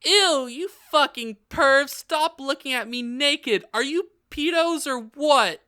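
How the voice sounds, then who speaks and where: A woman's voice: high voice, slow pace, pushed and bright, full character voice. A woman voicing an overdramatic, angry teenage goth vampire girl in a comedic audiobook reading. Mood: outraged. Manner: shrieking, overdramatic.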